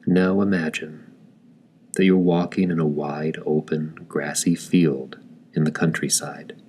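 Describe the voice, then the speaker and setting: A man narrates a guided meditation in a very deep, bass-range voice. His pace is slow and deliberate, with long pauses between short phrases. The delivery stays gentle and calm throughout. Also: husky.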